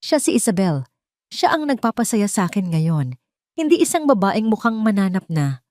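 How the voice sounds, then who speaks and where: A woman's voice: medium pitch, moderate pace, dry recording, studio quality, close to an everyday voice. Woman voicing a cold, contemptuous husband. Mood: scornful. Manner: flat, emotionless, contemptuous. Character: cold, dismissive husband leaving his pregnant wife.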